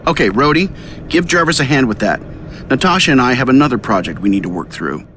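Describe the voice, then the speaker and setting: A man's voice: medium pitch, animated, fast, sharp tone, slight background noise. A man playing a cocky inventor hero in a video game, with a wry, clipped, commanding delivery. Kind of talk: video game cutscene acting.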